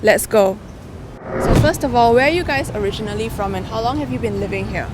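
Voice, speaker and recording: A woman hosting a street interview outdoors, asking clear, upbeat questions. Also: fairly high pitch, brisk, very noisy.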